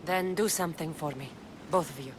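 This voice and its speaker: A woman's voice: deep voice, a little fast, background noise, close to an everyday voice. Determined woman warrior played by an actress. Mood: firm, urgent. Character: fierce, protective Greek mercenary woman hero. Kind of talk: dramatic video game acting. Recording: dramatic music behind the voice.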